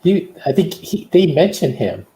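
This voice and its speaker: A man's voice: sits high, big pitch swings, normal speed, mellow, poor audio. A man talking casually in a group chat.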